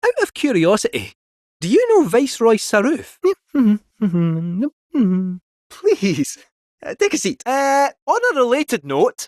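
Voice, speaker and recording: A man's voice: dry recording, studio quality, heavily acted. A man voicing a chatty, scheming male robot cat in a game, with a Scottish accent and a jaunty, theatrical delivery. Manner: jaunty, theatrical, swooping pitch. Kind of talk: video game voice acting.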